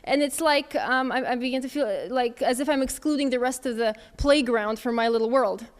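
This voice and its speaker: A woman's voice: on the high side, a little fast. A woman in her thirties answering audience questions in a candid, conversational way, thinking aloud as she goes. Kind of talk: casual Q&A talk.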